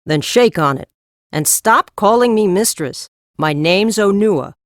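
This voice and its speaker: A woman's voice: medium pitch, expressive, a little slow, dry recording, studio quality, close to an everyday voice. A woman acting a tough but kindly horse trader in a full-cast audiobook, blunt, practical and no-nonsense. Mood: friendly, brisk.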